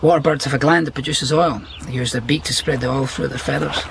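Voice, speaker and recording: A man's voice: mid-range voice, steady tone, fast, vocal fry, close to an everyday voice. Actor as a reserved Scottish outdoorsman, noisy ambience. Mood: gently matter-of-fact. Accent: Scottish, Glasgow. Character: reserved outdoorsy Scottish man, gentle, nature-loving. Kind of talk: naturalistic radio drama acting.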